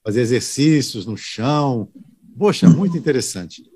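A man who does voice-over work, telling stories from his career in a relaxed way during a chat with colleagues. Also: medium pitch, moderate pace, slightly rough. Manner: relaxed, reminiscing anecdotal storytelling. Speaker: voice-over announcer. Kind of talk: casual chat among broadcasters.

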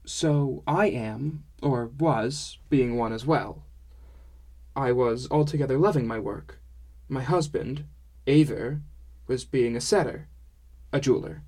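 A man's voice: mid-range voice, unhurried, a little mellow, natural voice. A man voicing a kindly, frank, easily amused dwarf woman with quaint foreign-style phrasing in an audiobook. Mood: explaining, reflective. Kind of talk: audiobook character dialogue.

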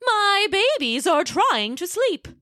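Woman doing a shrill fussy mother pterodactyl. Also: sing-song, pushed and bright, no echo, clean studio sound, exaggerated voice. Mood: scolding. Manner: shrill, slow, scolding.

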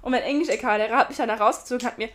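Giggly high-voiced young woman podcasting. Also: rapid-fire, fairly bright tone. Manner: giggly, rambling, often restarts sentences. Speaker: podcast co-host. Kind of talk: casual chat.

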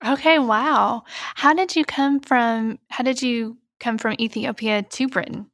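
A woman podcast host who reads a smooth, measured introduction and then asks interview questions. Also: a little high, fairly bright tone, no room sound, studio quality.

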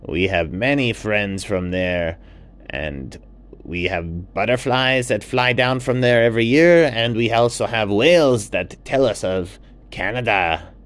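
A man voicing a welcoming, helpful toucan in a children's bedtime story, with a courteous, drawn-out, emphatic delivery. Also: fairly low pitch, voice pushed up, lightly acted. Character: welcoming, helpful male Costa Rican toucan.

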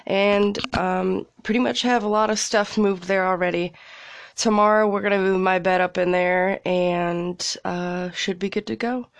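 A woman's voice: medium pitch, unhurried, dry recording. Congested young woman chatting casually. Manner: rambling, relaxed, swears freely, laughs often. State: congested from a cold. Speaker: podcast host. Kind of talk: casual solo chat. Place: small closet, indoors.

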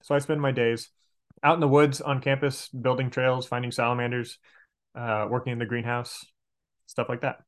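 A man's voice: medium pitch, level tone, moderate pace. An American man from Arkansas chatting casually as a podcast guest, explaining things and correcting himself as he goes. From Arkansas, United States. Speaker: podcast guest, game master and outdoor educator. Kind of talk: casual interview chat.

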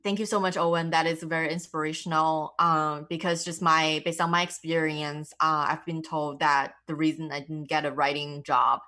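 A woman's voice: low voice, level tone, moderate pace, hardly any pauses, sharp tone. A woman who speaks English as a second language, talking conversationally as a journalist conducting an interview. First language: not English.

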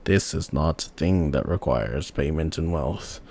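A man's voice: a bass voice, vocal fry, darkish tone, husky, voice pushed down, heavily acted. A man doing a solemn, venerable old man. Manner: slow, solemn, measured.

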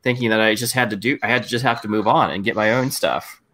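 A man's voice: on the low side, quick pace, bright, forceful tone. A man from Arizona, talking casually and laughing often as co-host of a group video stream. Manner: easygoing, laughs often, rambling.

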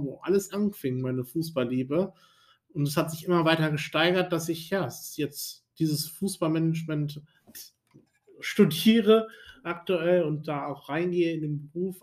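Young guy, slightly high voice, podcast host chatting to listeners, keen and informal. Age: young adult. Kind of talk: informal podcast introduction, addressing listeners directly.

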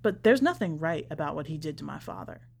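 A woman voicing a bitter, skeptical son in a story, terse and accusing in a low-key way. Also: sing-song, fairly quick, creaky, darkish tone, breathy edge, exaggerated voice. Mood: bitter accusation. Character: bitter, accusing son of a dead man, confronting preacher.

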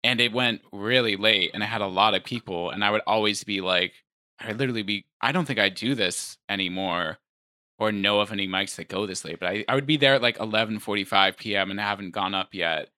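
A man's voice: fairly low pitch, fairly quick, sharp tone, no echo, studio quality. A man in his twenties, a stand-up comedian, chatting casually and rambling with lots of filler words. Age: 20s. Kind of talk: casual conversation.

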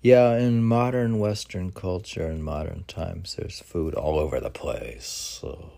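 A man in his sixties with a very low, creaky voice. He rambles casually and reads poetry, musing in halting fragments. The pace is very slow. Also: mellow. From United States. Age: 60s. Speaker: poet. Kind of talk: casual rambling talk mixed with poetry reading.

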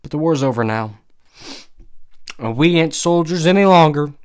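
A man's voice: on the high side, animated, moderate pace, clean tone, voice pushed up, lightly acted. Man reading as a folksy, blunt Southern veteran. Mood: hearty, earnest. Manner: folksy rustic phrasing. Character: tall raw-boned Southern ex-Confederate, folksy and blunt.

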